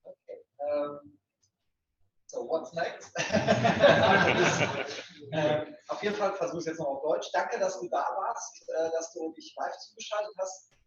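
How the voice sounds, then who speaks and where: A man's voice: a little high, unhurried, fairly bright tone. Casual man hosting, echoey and distant. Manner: casual, hesitant, many fillers, laughs often. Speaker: event host. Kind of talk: moderating an event. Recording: echoey, far from the mic, dull, faint noise.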